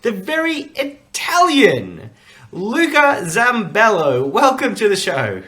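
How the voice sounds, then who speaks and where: A man's voice: high voice, wildly swooping pitch, takes it slowly, bright, forceful tone. A man hosting a podcast, talking in an upbeat, chatty way with many fillers during a remote interview. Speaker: podcast host. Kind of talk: casual interview chat.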